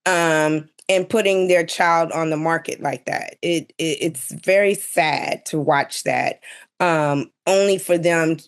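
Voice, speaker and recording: Woman, middle-aged, lowish voice, frank and emphatic, chatting as a panel guest. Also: slow pace, clean tone. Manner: blunt, emphatic. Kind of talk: casual panel discussion.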